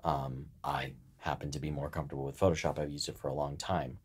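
A man's voice: rumbling low, little pitch movement, fast, darkish tone, husky. A man co-hosting a podcast in a casual, informal chat style, with a joking streak. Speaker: podcast co-host.

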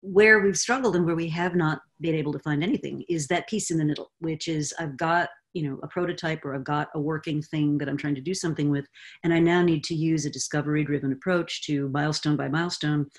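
A woman in her sixties explaining with confident, animated energy as guest expert on a video call from a home study. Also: deep voice, fast talker. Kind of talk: video call roundtable answer. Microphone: webcam on a video call.

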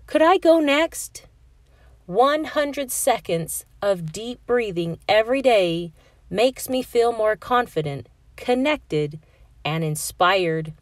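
An earnest young storybook alligator pupil, voiced by a woman. The delivery is emphatic and drawn out, slow and lifted in pitch. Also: expressive, no echo. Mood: anxious, earnest.

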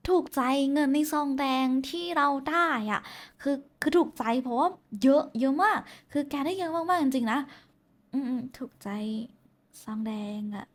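A woman playing a shy, affectionate young woman in an intimate roleplay, talking casually and hesitantly to someone special. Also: sits high. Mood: casual, teasing. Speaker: VTuber voice actress. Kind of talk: intimate roleplay voice pack addressed to the listener.

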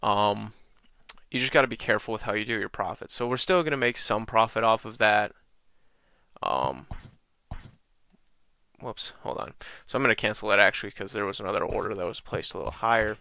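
A young man with a slight cold-raspy voice, casually walking through a video game tutorial with lots of ums. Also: lowish voice, fairly quick, no room sound. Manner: relaxed, many ums and uhs, talks through menus. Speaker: gaming channel host.

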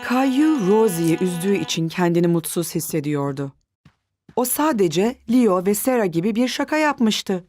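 Woman doing kids cartoon narrator, gentle storybook telling, mid voice, light music behind. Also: mid-range voice, lively intonation, normal speed, lightly acted. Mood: gentle storytelling. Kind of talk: scripted children's cartoon narration.

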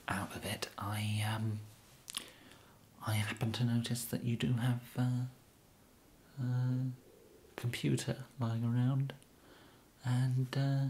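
A young man with an English accent whispering an ASMR roleplay as an awkward, polite kidnapper, halting and apologetic, close to the microphone. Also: mid-range voice. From England. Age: young adult. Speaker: ASMR performer. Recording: steady background hiss.

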